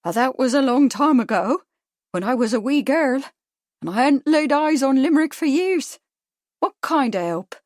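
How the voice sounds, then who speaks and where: A woman narrator acts out a grey-haired landlady from a storybook, keeping a shabby shop. Her voice is high and harsh, with Cockney vowels and some Irish underneath, and she talks in folksy, salty patter. The sound is close and dry. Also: animated, moderate pace, darkish tone, no echo. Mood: sentimental. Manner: chirpy, folksy sales patter.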